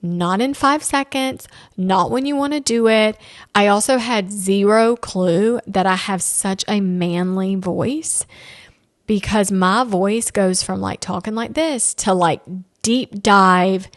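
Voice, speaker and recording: A woman's voice: mid-range voice, slow pace, no room sound, studio quality. A woman in her thirties hosting a casual solo podcast, chatty and candid, with playful asides. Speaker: podcast host.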